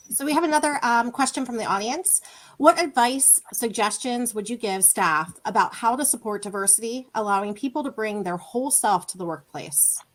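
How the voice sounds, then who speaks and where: A woman's voice: a little high, moderate pace, poor audio. A woman moderating a panel, putting questions to the speakers in a conversational style.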